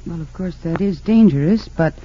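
An actress playing a composed, principled woman defense attorney in an old radio drama, formal and measured as she questions. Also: on the low side, lightly acted. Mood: probing, businesslike. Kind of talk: 1940s-50s radio drama acting. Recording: dull lo-fi old radio recording.

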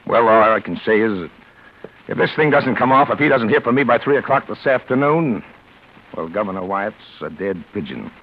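Casting: hard-boiled fixer, low voice, commanding and secretive, 1940s radio drama sound. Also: male voice, lively intonation, normal speed. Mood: smooth, conspiratorial. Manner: controlled, instructing, terse hard-boiled talk. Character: shady, commanding political fixer arranging a payoff. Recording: dull, slightly noisy vintage radio recording.

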